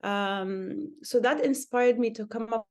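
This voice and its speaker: A young social worker from Lebanon talks through her answers in a relaxed interview. She is earnest and steady, and she often stops on a hesitant "uh" before going on. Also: on the high side, slow pace. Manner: earnest, many filler "uh"s.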